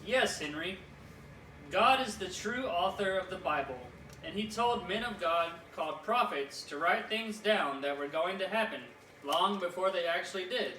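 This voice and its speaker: Man voicing a high, bright talking Bible. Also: animated. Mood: teacherly. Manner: didactic, measured explaining. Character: Mister Bible, kindly teacherly talking Bible puppet. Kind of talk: children's church puppet show. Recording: echoey room, distant mic, faint noise.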